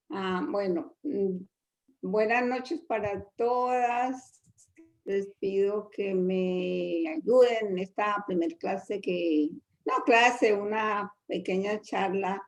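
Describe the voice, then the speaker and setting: Woman, Colombian accent, hesitant humble talk, fillers, very slow pace, prayer and devotion. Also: medium pitch, a little mellow, dull audio. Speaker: guest speaker in a women's Bible group.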